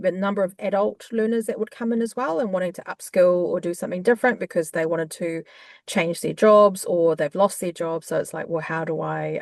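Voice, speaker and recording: A woman with a New Zealand accent, a guest in an interview, talking in a warm, chatty way with frequent fillers. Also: lowish voice, no room sound. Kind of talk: conversational interview.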